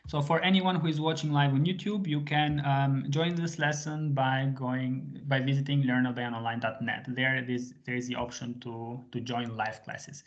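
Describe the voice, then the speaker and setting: A man leads a live online language class with a patient, friendly teacher's manner, often pausing on small fillers. His pitch sits in an everyday middle range. The sound is a slightly muffled video call. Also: steady tone. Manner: patient, switches between English and Albanian, frequent fillers. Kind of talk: live online group lesson.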